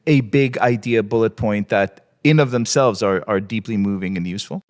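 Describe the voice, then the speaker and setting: A man's voice: on the low side, moderate pace. A man from Israel, a native Hebrew speaker, interviewing authors at a book launch.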